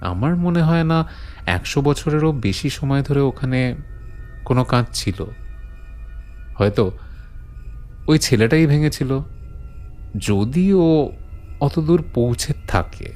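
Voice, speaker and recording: Man acting a brooding male storyteller, very slow delivery, suspenseful pauses, horror audiobook, music underneath. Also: mid-range voice, lively intonation, a little mellow, lightly acted. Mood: grave, eerie. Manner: deliberate, suspenseful, with dramatic pauses. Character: brooding male storyteller recounting eerie legends to his friend. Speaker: narrator and lead character of a horror audiobook.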